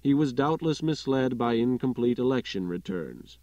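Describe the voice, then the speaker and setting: A man's voice: medium pitch, lively intonation, normal speed, warm tone, dry recording, lo-fi sound. A man reading a literary short story as an audiobook narrator, in scripted narration.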